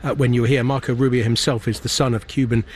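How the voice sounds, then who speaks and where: A man's voice: medium pitch, level tone, quick pace. A man presenting live radio news from a newsroom desk, conversational as he describes and asks questions. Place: small desk in a busy main newsroom. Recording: clear background noise. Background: newsroom ambience.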